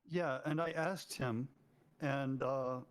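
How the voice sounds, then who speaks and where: A man's voice: medium pitch, steady tone, takes it slowly. A man playing a tabletop role-playing game, chatting casually in and out of character.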